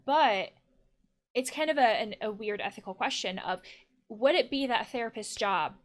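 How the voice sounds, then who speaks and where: A woman's voice: on the high side, lively intonation, moderate pace. A woman giving casual let's play commentary as a therapist, thinking aloud in a reflective way. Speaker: therapist playing and commenting on a video game.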